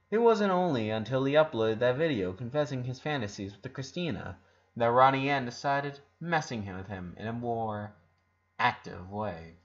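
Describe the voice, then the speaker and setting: Man narrating a story, dull lo-fi sound. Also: medium pitch. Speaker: narrator reading fan fiction aloud. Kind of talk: scripted narration, audiobook-style story reading. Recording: dull lo-fi recording.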